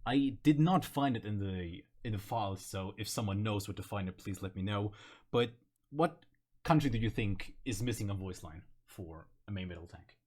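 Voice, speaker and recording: Guy hosting a gaming chat, lowish voice, bright but raspy edge, explains stuff casually. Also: expressive, moderate pace. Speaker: host of gaming video series.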